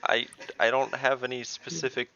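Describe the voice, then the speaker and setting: Male tabletop player, bright forceful edge, wry casual delivery, slightly muffled noisy mic. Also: medium pitch, steady tone, moderate pace. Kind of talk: casual online game chat. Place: online group voice call.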